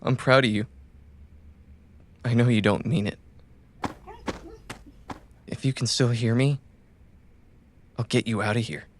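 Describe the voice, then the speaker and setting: A loyal, wounded male runner from a cyberpunk audio drama, played by a man. He speaks very slowly at an ordinary male pitch, with a tender, halting, reassuring manner. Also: fairly even intonation, a little hiss or hum, close to an everyday voice. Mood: strained, tender. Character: wounded, loyal male caravan runner gently soothing his companion.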